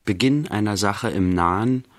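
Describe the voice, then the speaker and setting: A man playing a detached narrator in a literary radio drama, speaking in terse, solemn, measured phrases. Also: lowish voice, close to an everyday voice.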